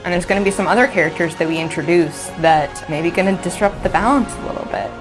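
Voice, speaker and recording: A woman talks as a game developer in an interview. Her voice is notably deep for a woman, with music clearly playing underneath. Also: fast talker, poor audio. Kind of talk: promotional interview.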